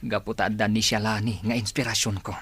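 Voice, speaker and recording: A man's voice: lowish voice, flat delivery, fast, a bit bright, husky, dry recording. A man with an Ilocano accent playing a loyal, eager rebel groom-to-be in a radio drama, respectful and grateful.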